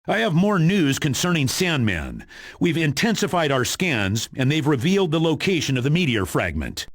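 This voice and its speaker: A man's voice: fairly high pitch, sing-song, moderate pace, no echo, clean studio sound. A man playing a stern, businesslike spy commander giving video game mission briefings in an authoritative, matter-of-fact way. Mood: grave, informative.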